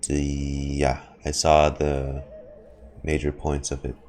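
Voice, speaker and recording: Slow, deep-voiced man streaming casually. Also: completely flat delivery, a little mellow, slight background noise. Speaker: streamer reacting to a game. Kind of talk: casual gameplay commentary.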